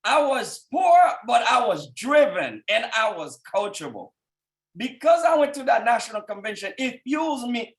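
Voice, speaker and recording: A man's voice: very high voice, big pitch swings, normal speed. A man in his thirties from Haiti with a thick Haitian accent, giving a fervent motivational talk to people on a call. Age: 30s. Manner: fervent, rising to shouts at peaks. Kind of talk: motivational speech.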